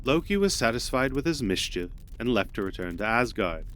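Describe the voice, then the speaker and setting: A man's voice: medium pitch, sing-song, brisk, a bit bright, clean tone. A man narrating a myth as a scripted storyteller in an audio drama.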